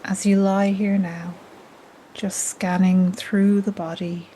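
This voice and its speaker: A woman guides a meditation in a mellow, breathy, soothing voice that often drops toward a whisper. She takes her time, speaking very slowly at a middle pitch. Gentle ocean waves run softly behind her. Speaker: yoga nidra guide. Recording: steady soft noise like ocean waves.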